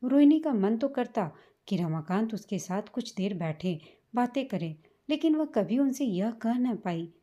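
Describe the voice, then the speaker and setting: Mellow woman narrating an emotional story. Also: on the high side, expressive, measured pace.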